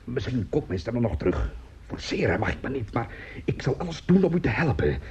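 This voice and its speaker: A vain, temperamental opera singer in a radio drama. His hoarse voice swoops with haughty, theatrical indignation and pleading. There is faint noise on the recording. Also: medium pitch, big pitch swings, a little fast, darkish tone. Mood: pleading, proud. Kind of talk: radio play acting.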